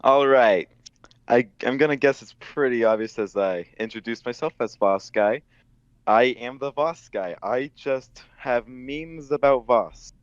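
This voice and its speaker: A man of medium pitch chats informally in a group podcast. His audio is slightly dull and dry, with no room sound. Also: unhurried, no echo. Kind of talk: casual group podcast chat.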